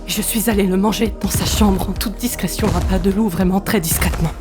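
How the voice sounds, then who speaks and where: A woman's voice: medium pitch, steady tone, brisk, loud music behind the voice, lightly acted. A woman playing a melodramatic guilty housewife, dramatic and over-emphatic, in a comedy audio drama. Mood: sheepish confession.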